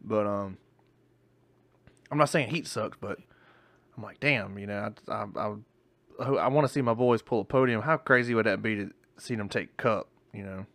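A man's voice: lowish voice, moderate pace, fairly bright tone, no room sound. A male podcast co-host in casual chat, rambling and swearing, often restarting his sentences, with hiccups.

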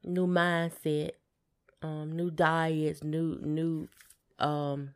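A woman's voice: low-pitched, little pitch movement, extremely slow, clean tone. A woman co-hosting a casual podcast, laid-back and brief in what she says. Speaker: podcast co-host. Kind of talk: casual chat.

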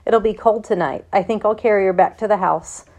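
A woman's voice: mid-range voice, a little fast, a little mellow, highs cut off, lightly acted. A woman voicing a grieving, stubborn farm boy in a storybook, plaintive and protesting. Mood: pleading, sad.

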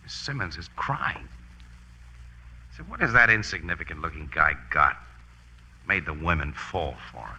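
An actor playing a brash, slangy American newspaper photographer in a punchy 1940s radio drama style. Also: male voice, low voice, animated, moderate pace, pauses often, pushed and bright, a little hiss or hum, heavily acted. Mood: thoughtful. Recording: old radio broadcast recording.

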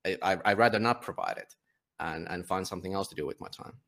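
A Finnish man, a publisher and guest, talking casually in a conversational interview, often halting and restarting his sentences. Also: low-pitched, vocal fry, a bit bright. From Finland. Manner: halting, many restarts and fillers.

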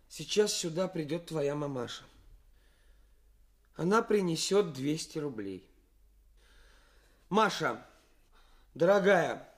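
Актёр в радиоспектакле 1980-х играет молодого жениха, который строит из себя наглого авантюриста, с театральной манерой и паузами. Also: male voice, sits high. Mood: dismayed, pleading. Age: young adult. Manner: deliberate, theatrical, halting pauses. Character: young actor suitor playing a brazen swindler. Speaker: radio drama actor. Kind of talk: 1980s Soviet radio play acting.

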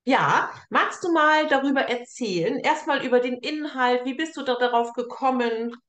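A woman hosts an informal author interview. Her voice sits on the high side and she talks in a loose, chatty way. The sound is noticeably roomy and echoey. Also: moderate pace, fairly bright tone. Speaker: interview host. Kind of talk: casual online author interview.

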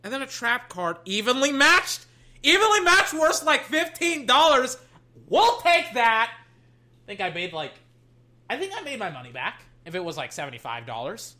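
A young adult, slightly hoarse, chatting casually while opening card packs at a desk, swinging between loud hype and low muttering and swearing freely. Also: sing-song, normal speed. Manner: swears casually, trails off and restarts. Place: at a desk indoors.

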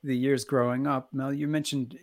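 A man co-hosting an interview asks curious questions in a mid-range voice with a gravelly texture. He stammers and restarts his questions often. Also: medium pitch, flat delivery, normal speed, darkish tone. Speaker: podcast co-host. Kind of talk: casual interview chat.

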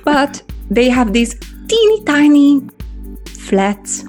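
A woman's voice: sits high, very animated, slow, darkish tone, soft background music. A woman with a Hungarian accent, chatting casually and rambling through stories with lots of fillers. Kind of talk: casual chat.

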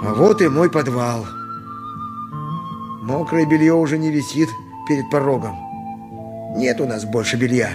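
A man's voice: medium pitch, unhurried, pauses often, music in the background, close to an everyday voice. A man acting a poor, harried, submissive donkey driver of ancient Greece in a radio play, speaking dialogue and his own narration. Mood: brooding.

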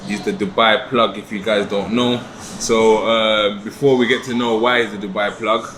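Podcast guy asking questions, lowish voice, lots of room noise. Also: normal speed. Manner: relaxed, asks questions, uses fillers. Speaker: podcast host. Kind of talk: casual interview. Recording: echoey, noisy room.

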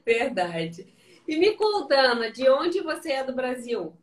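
A woman's voice: high voice, big pitch swings, a little slow, reverberant, recorded from a distance, a crowd in the background. A woman hosting a casual live-stream interview, chatty and encouraging, asking friendly questions.

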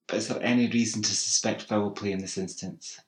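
A man's voice: lowish voice, steady tone, a little fast, close to an everyday voice. A man voicing a polite, apologetic young woman nurse. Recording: roomy echo.

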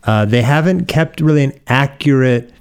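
A middle-aged American man from Oregon, a pastor being interviewed, explaining things conversationally with thoughtful, measured pauses. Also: medium pitch, animated, smooth. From Oregon, United States.

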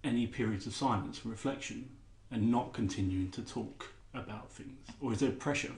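A man's voice: medium pitch, normal speed, darkish tone, echoey room. A man hosting a podcast, asking long, searching questions with fillers. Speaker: podcast host. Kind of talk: podcast interview.

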